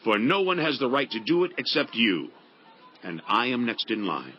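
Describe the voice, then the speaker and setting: A man's voice: medium pitch, big pitch swings, moderate pace, muffled recording, a little hiss or hum, natural voice. A man playing a kindly, honorable landowner of ancient Israel in a dramatized Bible reading, earnest and declamatory. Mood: firm, formal. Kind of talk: dramatized Bible audiobook acting.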